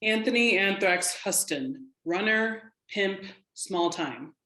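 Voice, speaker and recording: A woman playing a stern, sharp-tongued law enforcement agent who speaks with clipped authority in a drama table read. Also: mid-range voice, expressive, slow. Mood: cool briefing. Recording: roomy, echoing room sound.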